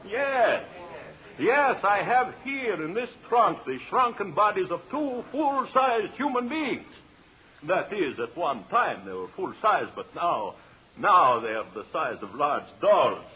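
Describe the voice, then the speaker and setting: A high-voiced, husky macabre stage lecturer in vintage radio drama. His grandiose oratory swoops widely in pitch. The old recording sounds dull. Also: sing-song, slow, full character voice. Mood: solemn showmanship. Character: showman lecturer unveiling macabre jungle specimens.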